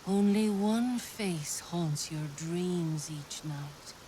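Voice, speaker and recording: Slow, mystical seductress. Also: female voice, on the low side, dark tone, slight background noise, poor audio. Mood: sultry, mysterious. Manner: slow, theatrical, breathy dramatic pauses. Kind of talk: video game fantasy acting.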